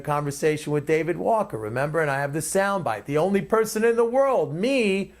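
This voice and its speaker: A man hosting his own commentary, speaking off the cuff in an emphatic, opinionated way. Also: fairly high pitch. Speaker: commentator and host.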